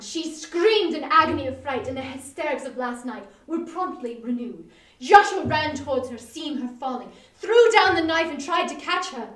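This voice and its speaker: A high-pitched woman reads a Victorian tale aloud with expressive, dramatic emphasis. She sounds far from the microphone in a very echoey room. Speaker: volunteer or staff reader. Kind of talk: dramatic reading of a Victorian short story. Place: echoey room, live online performance. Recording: echoey room, distant microphone, online stream.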